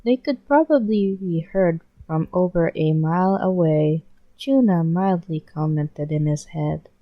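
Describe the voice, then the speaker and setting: A woman reading fan fiction aloud in an expressive storytelling style, on a muffled home recording. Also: on the low side, a little slow, dry recording.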